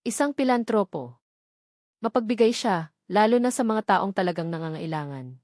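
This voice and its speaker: An older, well-mannered Filipino woman played by a female narrator speaks softly and with measured discretion, a gentle go-between in an audiobook drama. The sound is dry and free of room. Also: mid-range voice, lively intonation, no echo, close to an everyday voice. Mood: calm, reassuring. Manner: soft-spoken, measured.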